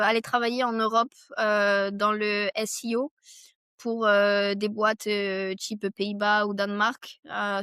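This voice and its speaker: A woman's voice: a little high, a bit bright, clear, resonant tone, no room sound, clean studio sound. A young adult woman from France, a podcast guest and entrepreneur, talking things through in long winding runs with many fillers. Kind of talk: casual conversational interview.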